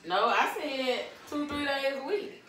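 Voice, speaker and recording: A woman's voice: high-pitched, unhurried, reverberant, faint music behind. A young woman, teasing her husband in casual family banter. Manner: teasing, sassy, lively. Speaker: wife and co-host.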